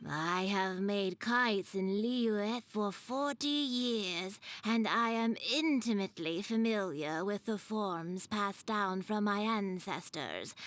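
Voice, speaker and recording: A woman voicing an older, gentle and proud kite maker in a video game, with a measured, formal way of talking. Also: mid-range voice, no echo. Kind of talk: scripted video game dialogue. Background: game music.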